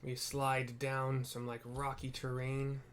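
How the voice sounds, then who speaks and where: A man's voice: medium pitch, monotone, slow, fairly bright tone. A man narrating his video game playthrough in a casual, informal, descriptive way. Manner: laid-back, descriptive, informal. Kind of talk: casual gameplay commentary. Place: indoors at a computer.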